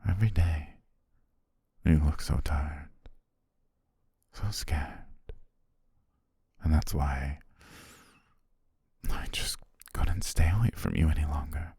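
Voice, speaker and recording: An extremely low, gravelly voice from a man acting as a soothing, possessive naga in the guise of a servant. He coaxes gently and formally in an intimate ASMR roleplay, breathy and often whispering, at an unhurried pace. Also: no room sound, heavily acted. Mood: soothing, deferential. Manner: slow, gentle, formal, coaxing. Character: possessive male naga shapeshifter posing as devoted, soothing servant.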